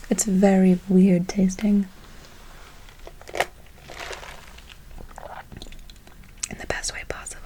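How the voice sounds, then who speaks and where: A woman playing a doting, whimsical forest witch in an ASMR roleplay, cooing endearments and gently reassuring her listener. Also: medium pitch, slight background noise, lightly acted, rough recording. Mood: whimsical, savoring.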